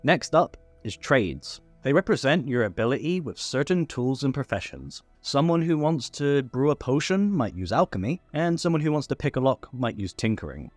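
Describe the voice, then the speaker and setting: A man's voice: mid-range voice, very animated, normal speed, hardly any pauses, dry recording. A man hosting a scripted tabletop game rules explainer, listing things step by step. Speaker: tutorial host. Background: background music.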